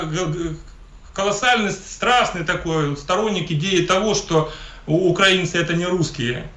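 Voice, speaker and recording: A man's voice: fairly high pitch, bright, forceful tone. A man from Ukraine, an interview guest giving emphatic, polemical political commentary and often repeating himself. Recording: echoey room.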